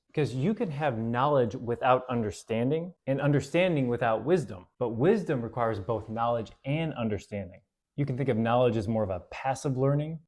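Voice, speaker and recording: Brief: male host, relaxed Bible study teacher, chatty with fillers, reads verses slowly. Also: medium pitch. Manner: relaxed, conversational, with fillers and self-corrections. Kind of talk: casual Bible study talk.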